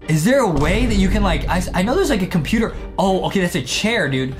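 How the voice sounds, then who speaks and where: A young American man hosting casual, excited gameplay commentary, slangy and often repeating himself for young viewers. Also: high-pitched, fast talker. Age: young adult. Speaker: gaming show host. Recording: music behind the voice.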